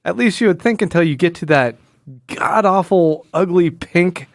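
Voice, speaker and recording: A man's voice: high-pitched, normal speed, no room sound, studio quality. A man hosting a casual comic book podcast in a wry, jokey way, often acting out imagined dialogue. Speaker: podcast host.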